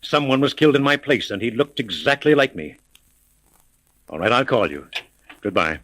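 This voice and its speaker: A wealthy, anxious gentleman in a 1930s radio serial. He talks in urgent, formal phrases with lively swings of a medium-pitched voice. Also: moderate pace, a little hiss or hum, low-quality recording. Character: wealthy, anxious father threatened with death.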